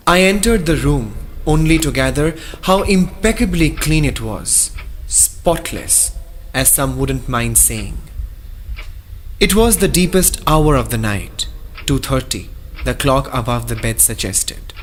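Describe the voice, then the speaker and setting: A man's voice: mid-range voice, lively intonation, a little hiss or hum. An actor playing a shaken married male traveler who narrates a horror radio play in a theatrical, literary manner. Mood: brooding. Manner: theatrical, literary, deliberate phrasing.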